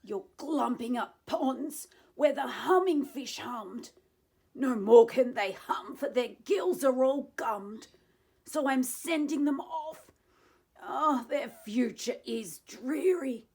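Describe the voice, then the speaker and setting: A woman's voice: high voice, animated, takes it slowly, a little mellow, slightly rough, pitched above the performer's own voice, heavily acted. A woman voicing an indignant male storybook creature who scolds in emphatic rhyme to defend nature. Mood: aggrieved. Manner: emphatic, rhyming accusations.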